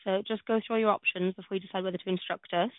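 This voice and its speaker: A woman from Wales whose first language is Welsh, briskly explaining legal terms on a phone call and starting her sentences with "so". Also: mid-range voice, fairly even intonation, dry recording. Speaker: legal firm adviser. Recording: dry, narrow phone line.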